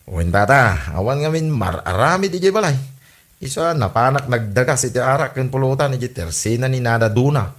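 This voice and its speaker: A man acting out an easygoing village husband in a comedy audio drama, bored at home and keen on a drink. He speaks with an Ilocano accent at a middling, everyday pitch. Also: animated, a little fast, lightly acted. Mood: cheerful, inviting. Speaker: actor in comedy radio drama.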